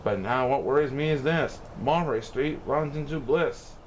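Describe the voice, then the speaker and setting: A man reading a rhyming children's storybook aloud in a theatrical, expressive way. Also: mid-range voice, normal speed, a little hiss or hum, low-quality recording. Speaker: storybook reader.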